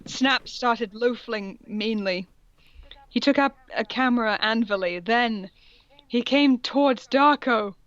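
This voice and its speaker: A woman with a fairly high voice reads fan fiction aloud as a comic co-host. The delivery is mock-serious and amused, dropping into casual asides. The recording is dry and slightly dull. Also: slow, fairly bright tone, no echo.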